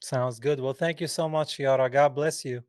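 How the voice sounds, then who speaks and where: A man's voice: medium pitch, fairly even intonation, moderate pace, darkish tone, clean tone, dry recording, clean studio sound. A man from Palestine hosting a podcast, chatting casually and emphatically with his guest. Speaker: podcast host. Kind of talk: casual interview chat.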